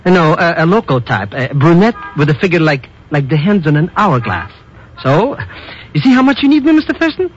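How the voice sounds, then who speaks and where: Excitable, swooping, wheedling hustler sidekick. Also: male voice, a little high, a little fast, faint music behind. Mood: boastful, wheedling. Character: chatty, scheming hustler sidekick, wheedling and slangy. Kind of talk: 1950s radio acting. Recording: muffled, faintly noisy 1950s radio recording.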